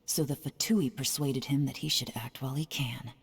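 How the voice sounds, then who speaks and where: An actress playing a composed, shrewd female spy in a game cutscene, speaking calmly and in a businesslike way. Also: moderate pace, creaky. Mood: cool. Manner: calm, low and businesslike.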